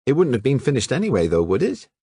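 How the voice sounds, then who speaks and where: A man putting on a shrill, squeaky young woman, pitched far above his own voice. She talks fast and prickly, in an audiobook with a dry close sound. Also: huge pitch swings, dark tone, slightly rough, no echo, heavily acted. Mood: indignant.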